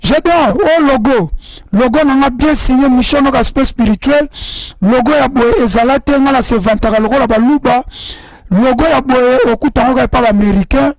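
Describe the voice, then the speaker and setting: A man's voice: very high voice, moderate pace, no room sound, poor audio. A young man from Congo with a Congolese accent, mixing French and Lingala as he hosts a rallying talk show monologue.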